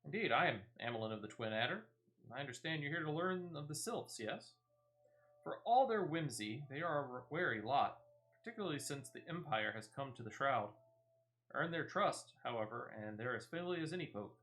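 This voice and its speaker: A courteous fantasy officer who speaks in a formal, measured way and often turns lines into polite questions, as a man reads the part. The delivery is even and composed. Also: mid-range voice, rough recording.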